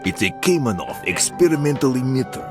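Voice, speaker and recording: An actor playing a shrewd, businesslike male trader who gives deliberate briefings in a video game. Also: mid-range voice, very animated, lightly acted. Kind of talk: scripted video game dialogue. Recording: loud music behind the voice.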